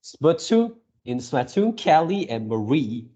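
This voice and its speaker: Guy doing a casual reaction video, slow halting talk, soft mellow voice, keeps hunting for words. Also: a little high, big pitch swings. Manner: halting, repeats words and searches for phrasing. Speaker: video creator reacting to a game series. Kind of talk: casual fan reaction commentary.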